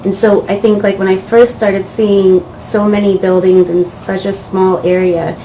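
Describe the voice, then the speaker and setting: A woman, a volunteer doctor, recounting her experience in a measured way with frequent "um". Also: mid-range voice, poor audio. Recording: steady background hiss.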